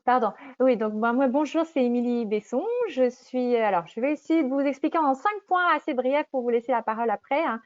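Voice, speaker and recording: A woman's voice: high voice, brisk, hardly any pauses, dry recording. A middle-aged woman giving an informal, chatty account of her path as an entrepreneur, often correcting herself as she explains. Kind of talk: informal personal testimonial. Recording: dull, muffled lo-fi audio.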